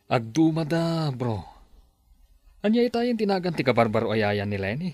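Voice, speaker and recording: A man's voice: fairly high pitch, sing-song, moderate pace, a little mellow, dry recording. An actor playing an easygoing male friend who consoles and teases, in a radio drama. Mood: consoling. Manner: easygoing, calls his friend bro.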